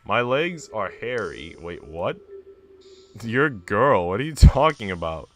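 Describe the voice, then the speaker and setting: A man's voice: lowish voice, sing-song, takes it slowly, a bit bright, slight background noise. A man live streaming in an informal, slangy way, moving between casual chat and reading aloud.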